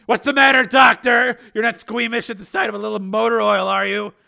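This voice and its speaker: A man voicing a bitter, wounded cyborg policeman in an audiobook, gruff, sarcastic and explosive. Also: fairly high pitch, fast talker, voice pushed up, heavily acted. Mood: harsh sarcasm. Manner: harsh, sarcastic, forceful. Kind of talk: dramatic audiobook character acting.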